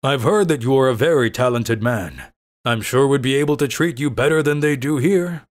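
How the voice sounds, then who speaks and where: A man's voice: medium pitch, close to an everyday voice. A man voicing a polite, serious middle-aged company president and father in a dubbed manga story, speaking formally. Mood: grave, grateful. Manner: formal, grateful, measured. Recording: music under the voice.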